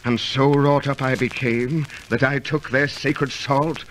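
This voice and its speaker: A man's voice: mid-range voice, steady tone, measured pace, fairly bright tone, natural voice. An actor playing a grave, superstitious ancient tribesman with formal, archaic phrasing in an old radio drama. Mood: earnest. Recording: faintly noisy, clicky old radio recording.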